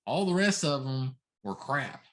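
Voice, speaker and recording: A man's voice: medium pitch, animated, a little slow. A middle-aged man co-hosting a casual talk show, complaining in an emphatic, comically exaggerated way. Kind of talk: casual chat.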